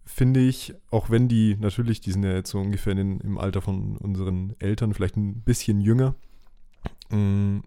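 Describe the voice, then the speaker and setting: A man's voice: on the low side, fairly even intonation, normal speed, dark tone, smooth. A young adult man co-hosting a podcast, chatting casually and nostalgically in hesitant, circling phrases. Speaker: podcast co-host.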